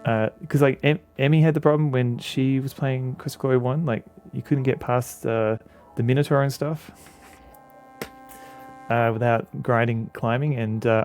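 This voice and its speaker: Male streamer, mid-pitched voice, rambling, chatty restarts, faint game music underneath. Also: darkish tone. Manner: rambling, frequent restarts and fillers. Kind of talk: casual chat with viewers while playing a game.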